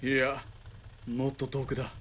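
A man's voice: mid-range voice, completely flat delivery, measured pace, fairly bright tone, a little hiss or hum, natural voice. A man playing a cool-headed young army spotter on the run, who talks in a dry, sardonic way.

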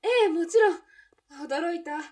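A woman playing a guarded, lovelorn café barmaid in a radio drama, speaking in soft, understated, feminine phrases. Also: very high-pitched, normal speed. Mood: polite, guarded. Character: guarded café barmaid still longing for lost love. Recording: distant from the mic.

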